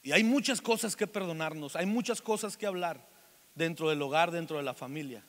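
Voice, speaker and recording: A man's voice: fairly high pitch, fairly quick, fairly bright tone, long reverb tail. A man in his forties with a Mexican accent, preaching a sermon and mixing jokes and stories with earnest calls to forgive. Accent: Mexican Spanish. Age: 40s. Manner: joking storytelling between earnest exhortation. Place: church meeting hall.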